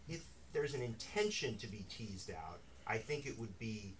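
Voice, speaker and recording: A man, an author at a bookstore event, speaking thoughtfully in a rambling way with many restarts and asides. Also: lowish voice. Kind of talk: live talk with audience Q&A. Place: bookstore reading room. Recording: distant mic in a noisy room.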